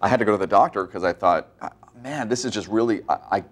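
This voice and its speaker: A man's voice: fairly low pitch, fast. A man in his thirties co-anchoring a morning news show, easygoing and chatty, with fillers when ad-libbing. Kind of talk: casual morning news chat.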